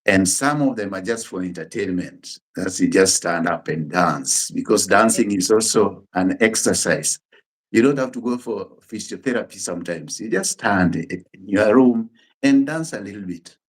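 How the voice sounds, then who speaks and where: A middle-aged Kenyan man, a Luo speaker, talks as a guest on a casual show. His voice sits a little low. He speaks in plain, unhurried sentences and often pauses or restarts a phrase. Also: lively intonation. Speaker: musician and pastor guest. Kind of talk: casual interview chat.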